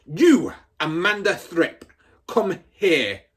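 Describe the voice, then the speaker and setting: A man voicing a huge, bullying headmistress in a storybook, barking and shouting with menace. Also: high-pitched, very animated, very slow, slightly rough, pitched above the performer's own voice, heavily acted. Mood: furious bellowing.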